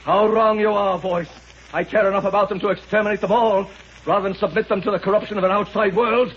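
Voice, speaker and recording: Guy doing fanatical tormented ruler from a 30s radio show, really high voice, melodramatic, noisy old sound. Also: steady tone, fast, close to an everyday voice. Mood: grandiose. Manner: grandiose, melodramatic. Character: fanatical, anguished, self-righteous male valley ruler, finally dying. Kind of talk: 1930s radio acting. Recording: noisy dull 1930s radio recording.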